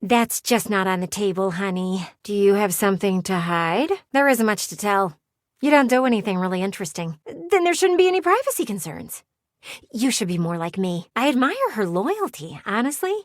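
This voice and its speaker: A woman's voice: mid-range voice, lively intonation, normal speed, no echo, clean studio sound, close to an everyday voice. A woman voicing a nosy, sassy talking window in a video game, chatty and theatrical like a gossiping neighbor. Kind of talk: video game character voice acting.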